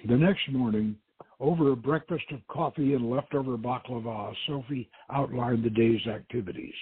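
A man's voice: mid-range voice, normal speed, husky. A man reading an adventure story aloud as a dramatic narrator and host. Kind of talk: dramatic audiobook-style narration. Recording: tinny band-limited radio stream, roomy echo.

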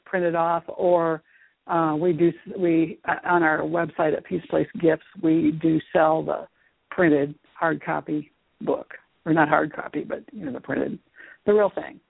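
A woman speaking as a guest teacher and author in a radio interview, explaining things in a measured way. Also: deep voice, level tone, vocal fry, slightly rough, no room sound. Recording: muffled phone-like radio line.

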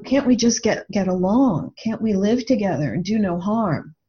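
A woman talks thoughtfully in a reflective discussion, putting questions to the listener. Her tone is mellow and rather husky, at a middling pitch. The recording is a little dull. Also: animated, moderate pace. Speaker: radio show co-host and author.

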